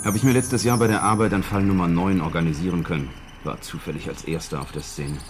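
Very deep-voiced male investigator, clipped and dry actor. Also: fairly even intonation, fast talker, slight background noise, lightly acted. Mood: matter-of-fact. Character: matter-of-fact male secret service investigator briefing a colleague. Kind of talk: 1970s German radio play dialogue.

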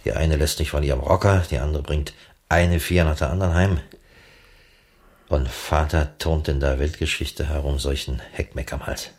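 Gruff, very deep, husky man. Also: normal speed. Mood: grumbling. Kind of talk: 1980s German radio drama acting.

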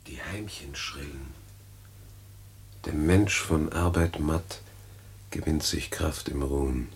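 An actor playing a scheming, flattering Roman gentleman in a classical radio play, speaking courtly, florid verse. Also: very deep voice, creaky, breathy edge, heavily acted. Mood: hushed, lustful.

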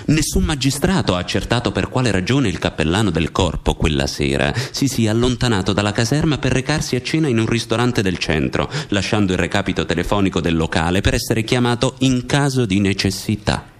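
A man playing a sober, serious investigative narrator in a scripted radio drama, speaking in a measured way. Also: on the low side, sing-song. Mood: grave, pointed.

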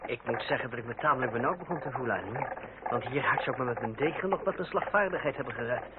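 An actor playing a gallant, earnest young adventurer in a Dutch radio play, courteous and slightly hesitant. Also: male voice, mid-range voice, fast talker, close to an everyday voice. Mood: wry. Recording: very noisy muffled old radio recording.

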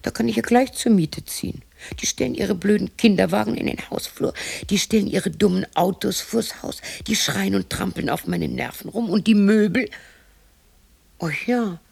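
A woman's voice: mid-range voice, moderate pace, gravelly, a little mellow, slightly rough. An actress playing a lonely, cantankerous widow in her sixties in a radio drama monologue, muttering to herself and snapping. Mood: indignant. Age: 60s. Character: lonely, cantankerous sixty-year-old German widow talking to herself.

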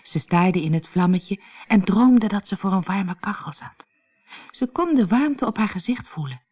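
A woman narrating a scripted fairy tale as an audiobook, in an expressive, dramatic storytelling manner. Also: on the low side. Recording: music behind the voice. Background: music.

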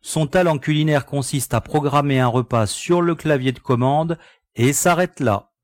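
A man's voice: fairly high pitch, normal speed, no room sound, lightly acted. Man acting an easygoing pilot, very dry sound. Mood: amused, teasing. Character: easygoing, practical male spaceship pilot. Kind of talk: scripted French audio sci-fi drama.

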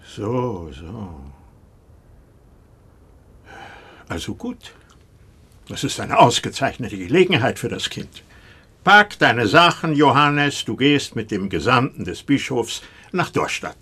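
Wrathful medieval village priest, husky voice, wildly swinging pitch, pompous, stern, domineering, radio drama. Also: male voice, very animated, a little slow, sharp tone, full character voice. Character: wrathful, domineering pious medieval clergyman father. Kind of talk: German radio play acting.

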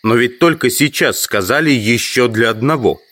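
An actor playing a questioning, brooding trapped scientist in an audio drama. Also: male voice, medium pitch, normal speed, a bit bright, lightly acted. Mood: puzzled, questioning. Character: puzzled, brooding entomologist trapped in a sand pit.

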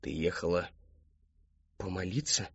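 A man voicing a gentle, anxious middle-aged mother in an audiobook, soft-spoken and coaxing. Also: mid-range voice, animated, fairly quick, husky, no room sound, highs cut off. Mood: gentle, worried.

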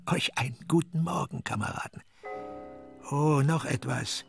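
Creaky, cryptic old-salt sailor prophet. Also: male voice, medium pitch, unhurried. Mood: mock cordial. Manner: insistent questioning with muttered, trailing asides. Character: cryptic ominous sailor, needling prophet of doom. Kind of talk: radio drama acting. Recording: music under the voice. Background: music.

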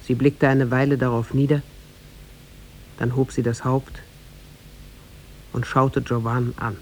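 A man narrating a tale in a scripted, measured and formal 1950s radio drama style. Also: mid-range voice, little pitch movement, a little mellow. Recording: noisy 1950s radio recording.

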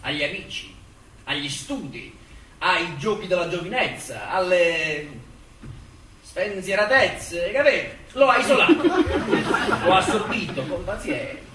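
An actor playing a contemptuous, pious prosecutor who declaims a courtroom speech with emphatic, deliberate pauses. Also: male voice, high-pitched, expressive, bright, forceful tone, exaggerated voice. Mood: scornful, insistent. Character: vehement moralizing male prosecutor, contemptuous and pious. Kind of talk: theatrical courtroom oration. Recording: roomy, distant stage recording with hiss.

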